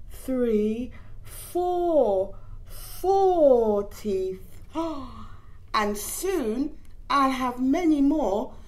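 A middle-aged woman reading a picture book aloud to young children, expressive and gently teacherly, putting questions to them. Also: a little high. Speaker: children's story time reader. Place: indoors in front of a decorated backdrop.

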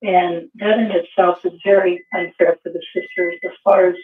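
A hoarse, froggy-voiced woman talking quietly on a call-in show. Her voice comes over a narrow, phone-like line. She sounds far from the mic in an echoey room. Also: on the low side, little pitch movement, moderate pace, slight background noise. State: hoarse from a cold. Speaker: co-host.

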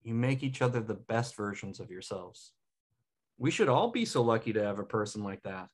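A man's voice: lowish voice, animated, moderate pace. A man playing an insightful, cocky, supportive best friend in a sitcom table read. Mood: earnest. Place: home room on a video call.

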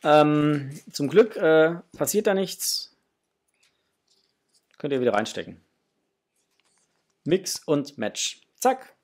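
A man's voice: on the high side, sing-song, slow pace, pauses often, a little mellow. A man, chatting informally and enthusiastically as he presents a casual unboxing review at home. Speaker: toy review channel host. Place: small home room, indoors.

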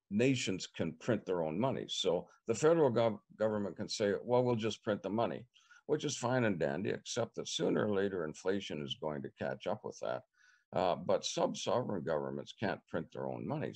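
An older man with a Canadian accent, answering interview questions on a video call from a living room, measured and repeating words as he thinks. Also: sits low, vocal fry, a little mellow. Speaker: political candidate. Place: living room with leather couch. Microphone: webcam on video call.

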